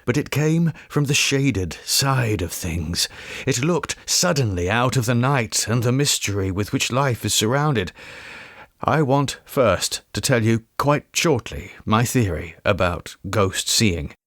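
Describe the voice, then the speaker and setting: A man voicing a big, sceptical gentleman who talks in an assertive, articulate, explanatory way in an audiobook ghost story. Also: medium pitch, expressive, slow, no room sound, clean studio sound, heavily acted. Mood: earnest, confiding.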